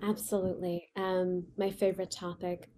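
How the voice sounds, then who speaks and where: A woman's voice: lowish voice, level tone, normal speed, warm tone. A woman from Pakistan whose first language is Urdu, a company founder speaking as a guest in a remote interview and telling her story with frequent restarts. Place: remote call from home.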